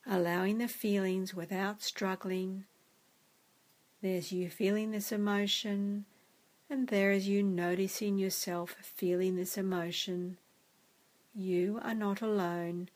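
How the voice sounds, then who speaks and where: Woman, meditation guide, gentle, soothing, very slow pace. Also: mid-range voice.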